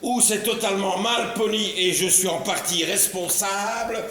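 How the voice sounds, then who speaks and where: A man's voice: high voice, fairly even intonation, moderate pace, bright, forceful tone. An actor playing a condescending middle-aged politician father, ironic and mock-polite, in a stage comedy. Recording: very echoey stage recording.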